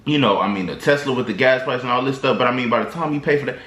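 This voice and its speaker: A man's voice: medium pitch, rapid-fire, fairly bright tone, a little hiss or hum. A man vlogger giving casual, slangy, rambling motivational advice to his viewers. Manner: slangy, loose and rambling, lots of restarts. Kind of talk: casual motivational talk to camera. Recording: echoey room.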